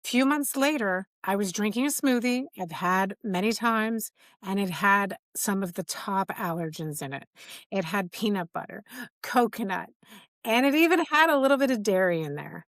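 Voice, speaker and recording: A woman's voice: medium pitch, expressive, normal speed, no echo. A middle-aged woman hosting a podcast, candidly telling a personal story in casual conversation and acting out quoted dialogue. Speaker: podcast host.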